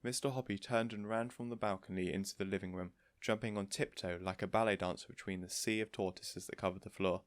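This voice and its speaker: A man's voice: on the low side, steady tone, brisk, few pauses, dry recording, clean studio sound. A man narrating a children's story from a script, as an audiobook reader.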